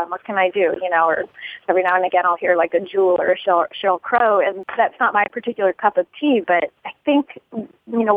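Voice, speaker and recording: A musician woman from the United States, talking in a phone interview, rambling and laughing often. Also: medium pitch. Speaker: musician guest. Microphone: telephone. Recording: tinny phone line.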